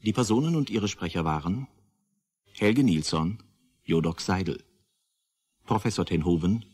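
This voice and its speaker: A man with a low, husky voice makes a scripted radio announcement. He reads slowly and soberly, item by item, with formal restraint. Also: expressive, a little mellow. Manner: formal, sober, list-like delivery. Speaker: announcer reading the credits.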